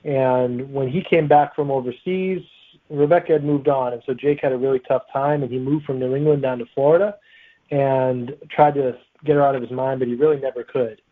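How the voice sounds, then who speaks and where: A man talks casually as a guest author in an interview. His voice is medium in pitch and sounds narrow and tinny, as if coming down a phone line. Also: steady tone, a little fast.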